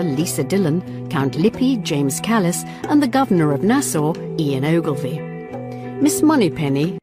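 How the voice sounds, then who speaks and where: A woman reading scripted broadcast credits as an announcer, in a measured list-reading manner. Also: low voice. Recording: music behind.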